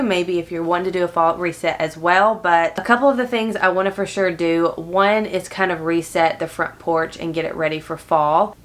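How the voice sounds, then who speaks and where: A woman's voice: on the low side, a bit bright. A woman in her thirties with a nasal, congested voice from allergies, chatting upbeat to camera in a cozy living room. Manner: chatty, upbeat, friendly direct address. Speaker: lifestyle vlogger. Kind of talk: casual talk to camera.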